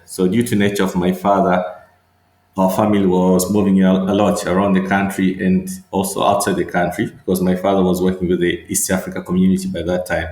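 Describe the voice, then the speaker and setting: A middle-aged man from Tanzania, a medical doctor and regional manager, answering interview questions slowly and thoughtfully, with many fillers and repeated words. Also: low voice, darkish tone, echoey room.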